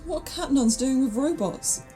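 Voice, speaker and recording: A woman's voice: high-pitched, lively intonation, moderate pace, warm tone, faint music behind. A woman playing a curious, plain-spoken travelling companion in an audio drama, inquisitive and cheeky. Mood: alarmed.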